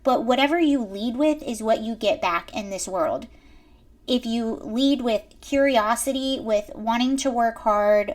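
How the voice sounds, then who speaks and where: A young woman with a high-pitched voice delivers emphatic, lecturing commentary to her viewers. She talks directly to the audience, pressing her points one after another. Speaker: commentary host. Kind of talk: reaction commentary to camera.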